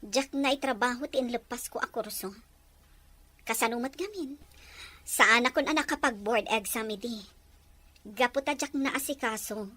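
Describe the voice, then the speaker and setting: A woman acting an emotional young mother in an Ilocano radio drama, plaintive and expressive, with an Ilocano accent. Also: on the high side, moderate pace, pauses often. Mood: resigned, reflective.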